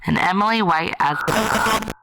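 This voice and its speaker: A woman's voice: mid-range voice, a little slow, pushed and bright, dry recording, poor audio. A woman reading scripted podcast credits as an announcer.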